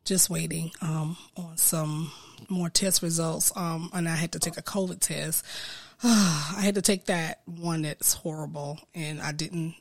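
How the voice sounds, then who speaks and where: A woman hosting a casual solo podcast, sounding congested and a bit nasal from a cold, talking slowly and earnestly with frequent ums. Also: low-pitched, steady tone, no echo. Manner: slow, earnest, with frequent ums and soft asides. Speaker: podcast host and business coach.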